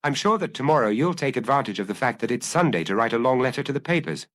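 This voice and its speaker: A man plays a calm middle-aged police chief in an audiobook detective story. He questions deliberately and briefly, with lively rises and falls in pitch and a dry sound. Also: dry recording, lightly acted. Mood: probing. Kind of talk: acted audiobook dialogue.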